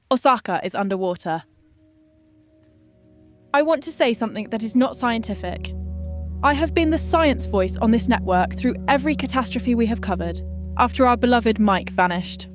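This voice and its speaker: A woman's voice: a little high, close to an everyday voice. A woman playing a grave, precise science correspondent explaining disaster in a scripted radio drama.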